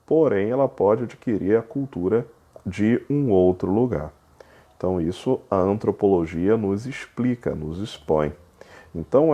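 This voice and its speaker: A man teaching a recorded lecture, explaining step by step and repeating key points, sometimes drawing words out slowly for emphasis. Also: lowish voice, mellow.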